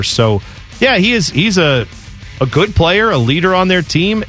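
A man's voice: mid-range voice, a bit bright. A man co-hosting a college football talk show, reading news items and riffing in a casual way. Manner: conversational, reads news items then riffs. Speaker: sports talk co-host.

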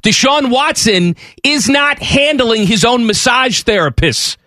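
Male sports-talk co-host, lively pitch, sarcastic, dry close mic. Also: unhurried, dry recording. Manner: animated, sarcastic, pushes back with rhetorical questions. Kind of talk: casual sports talk debate.

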